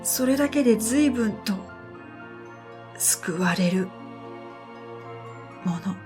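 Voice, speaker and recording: A woman narrating a scripted first-person radio drama in a gentle, unhurried way, with breathy phrase endings. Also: on the high side, lively intonation. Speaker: narrator and storyteller. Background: new-age music.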